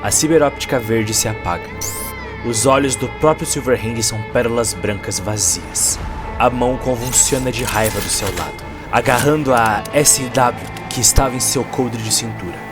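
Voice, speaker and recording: Male narrator for cyberpunk audio fiction, average pitch, dramatic scripted read over a music bed. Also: moderate pace. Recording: music behind the voice.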